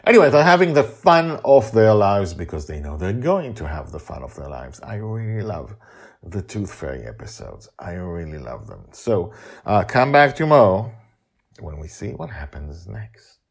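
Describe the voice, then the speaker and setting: A man narrating as author and host, expressive and theatrical, moving between storytelling and informal talk to listeners. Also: lowish voice, normal speed, husky.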